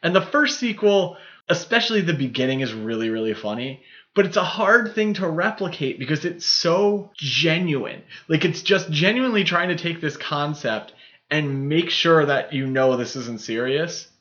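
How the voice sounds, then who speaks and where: A man's voice: fairly high pitch, expressive, normal speed, a bit bright. Man chatting on a slightly dull podcast recording. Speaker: podcast co-host. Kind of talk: casual film discussion.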